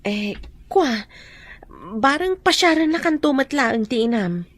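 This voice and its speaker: Fairly high-voiced, concerned Filipino aunt. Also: female voice, expressive, unhurried, dry recording. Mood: concerned, coaxing. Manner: earnest, questioning. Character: concerned, gently admonishing aunt. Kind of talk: radio drama acting.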